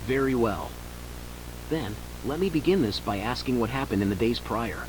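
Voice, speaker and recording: Guy acting as formal investigator doing a witness interview, horror audio drama, medium pitch, hissy humming recording. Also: lively intonation, close to an everyday voice, poor audio. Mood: formal, composed. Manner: formal, measured, official. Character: formal, composed male investigator questioning a witness. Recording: clear hum and hiss.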